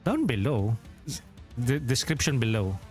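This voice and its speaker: Filipino-accented male streamer, mid pitch, loose rambling chat, faint music under. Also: big pitch swings, a little slow. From Philippines. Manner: rambling, self-correcting, chuckles often. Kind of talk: casual livestream chat.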